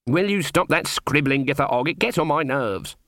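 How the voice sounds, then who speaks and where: A man's voice: fairly high pitch, expressive, moderate pace, sharp tone, dry recording, clean studio sound, pitched above the performer's own voice. A man voicing a stern, proud, easily offended old woman witch in a storybook reading, with a snappish, imperious manner. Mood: snappish, indignant.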